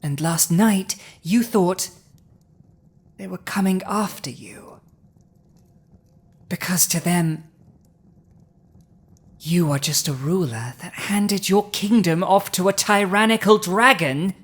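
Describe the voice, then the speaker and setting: A woman voicing a proud, domineering female dragon with an awkwardly tender side, in a dramatic ASMR roleplay, speaking deliberately with a husky, breathy voice. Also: on the low side. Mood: intense, indignant. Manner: slow, deliberate, imperious, with long pauses.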